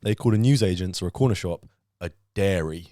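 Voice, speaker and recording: A man's voice: low voice, a little fast, mellow, no room sound, clean studio sound. A young British man guesting on a podcast, wry and self-mocking in casual chat.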